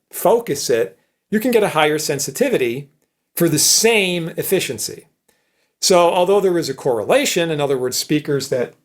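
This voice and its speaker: A man giving an informal technical lecture in a conversational, explanatory manner, often checking understanding with short tag questions. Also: fairly high pitch. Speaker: electronics professor.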